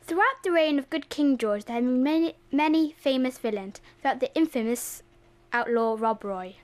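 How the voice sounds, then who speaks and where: Young woman as a high, lively, self-important historian. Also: normal speed. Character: self-important, touchy studio historian narrator. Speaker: drama student. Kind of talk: mock documentary narration.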